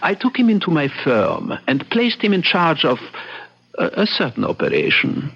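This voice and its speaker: An actor playing a coolly controlling boss with a creaky, husky voice and formal, deliberate delivery in an old radio drama. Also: male voice, a little high, wildly swooping pitch, a bit bright, heavily acted. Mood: cool, firm. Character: coolly menacing, controlling male company boss. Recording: muffled vintage radio recording.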